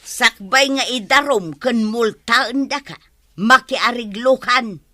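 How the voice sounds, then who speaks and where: An actress with an Ilocano accent playing a nagging, exasperated wife who scolds in a radio drama. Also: female voice, a little high, takes it slowly, pushed and bright, no echo, clean studio sound. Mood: urging.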